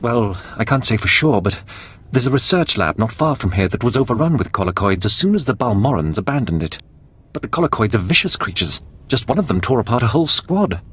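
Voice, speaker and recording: A man playing a dutiful, deferential army captain with a husky voice in a video game, cautiously reporting. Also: mid-range voice, big pitch swings, fairly quick, fairly bright tone. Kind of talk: video game cutscene acting.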